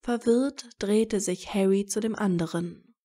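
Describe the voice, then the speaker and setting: Woman reading a story, slow pace, soft mellow tone, mid pitch. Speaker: narrator. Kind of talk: scripted fan fiction narration.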